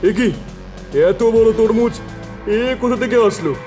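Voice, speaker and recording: A blustering, cowardly cartoon police inspector played by a man, pitched high. He barks excitably with drawn-out words, over light music. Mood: startled. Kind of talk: cartoon horror story acting.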